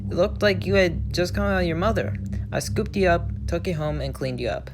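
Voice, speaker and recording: A woman voicing a gruff, sorrowful old crab father who speaks haltingly in salty sailor phrases, with music behind. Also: lowish voice, expressive, natural voice. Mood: tearful, confessing.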